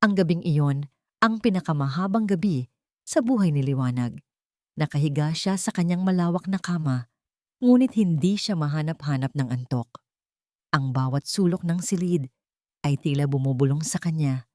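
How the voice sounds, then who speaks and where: Filipino woman narrating a dramatic love story, deep soft-toned voice, really dry sound. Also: lively intonation, moderate pace, no room sound. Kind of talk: dramatic scripted romance narration.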